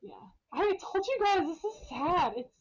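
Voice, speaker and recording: A woman's voice: extremely high pitch, expressive, a little slow, lo-fi sound. A woman hosting a podcast, retelling a story in a casual, irreverent way with slang and swearing. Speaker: podcast host telling a story.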